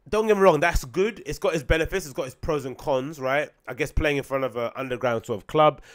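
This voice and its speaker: Raspy young London man rambling. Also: mid-range voice. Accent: London English. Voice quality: raspy today. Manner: rambling, swears freely, friendly slang. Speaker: podcast host and DJ. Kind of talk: casual solo podcast chat. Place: small home room with bookshelves. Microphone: studio mic on boom arm close to mouth.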